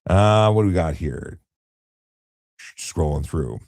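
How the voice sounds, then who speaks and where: A middle-aged man with a low voice, calmly explaining things in a relaxed late-night live chat as he reads out viewer questions. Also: slow pace, vocal fry, no room sound, clean studio sound. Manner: calm, explanatory, many restarts and fillers. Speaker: retired traffic police officer, live-stream host.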